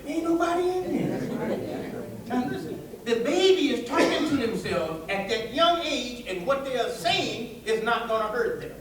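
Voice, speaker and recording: A man preaching an animated, folksy sermon to listeners, tossing out rhetorical questions and colloquial asides. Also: very high voice, measured pace, crowd noise. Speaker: preacher giving a public religious address. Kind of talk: animated sermon with call-and-response to a live audience. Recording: echoey hall, distant microphone.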